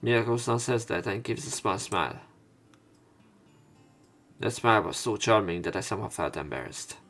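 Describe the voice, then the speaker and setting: Guy reading visual novel lines out loud for a lets play, casual asides, bit of game music under him. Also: lowish voice, animated, normal speed. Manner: informal, swears in asides. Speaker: let's player reading a visual novel aloud. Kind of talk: narrated reading with offhand commentary.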